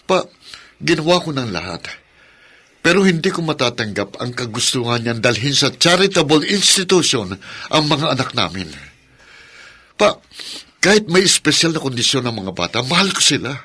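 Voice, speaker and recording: A devoted Filipino father at the end of his patience in a radio drama. His voice is forceful and raspy, earnest and emotional. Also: mid-range voice, sing-song, moderate pace, heavily acted. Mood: weary, pleading. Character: frustrated young father, devoted, losing patience with his wife.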